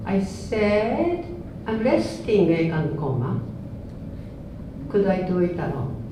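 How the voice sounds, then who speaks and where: Older woman, Japanese accent, earnest and unhurried. Distant, heavy reverb, noisy. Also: on the low side, big pitch swings. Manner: earnest, unhurried, frequent laughs, non-native phrasing. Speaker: dance artist giving a talk. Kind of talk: informal public talk. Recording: echoey hall, speaker off-mic, audible noise.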